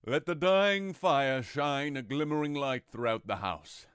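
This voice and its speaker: A man's voice: mid-range voice, unhurried, fairly bright tone, smooth, no room sound, studio quality, close to an everyday voice. A man playing a regal, benevolent fairy king in an audio drama, stately and commanding. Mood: regal blessing. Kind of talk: audio drama Shakespeare in modern English.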